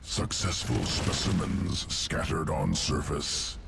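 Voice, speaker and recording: An actor playing an inhuman insectoid evolution master in a video game, clinical and detached, speaking in clipped, guttural phrases. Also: male voice, low voice, heavy background noise, exaggerated voice. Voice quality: gravelly, guttural. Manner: clipped telegraphic phrases without articles, deliberate. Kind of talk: video game character dialogue.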